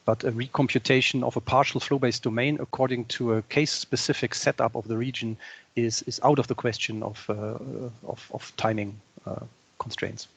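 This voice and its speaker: A man gives a matter-of-fact technical answer on a conference call. His voice is a little husky and sits at a middle pitch. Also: steady tone, normal speed. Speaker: technical expert.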